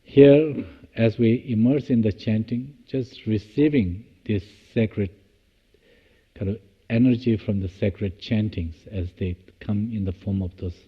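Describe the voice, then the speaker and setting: A man guides a meditation in a lowish, husky voice. He moves in measured short phrases with long pauses between them. The recording is dull and muffled. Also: in a large hall. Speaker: meditation teacher.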